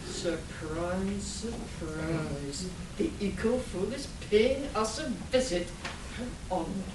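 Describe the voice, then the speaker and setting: A woman playing a gloating, mocking supervillain in an amateur comedy radio play, speaking with deliberate scorn. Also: medium pitch, crowd noise, close to an everyday voice. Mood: smug. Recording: roomy, distant live convention recording with noise.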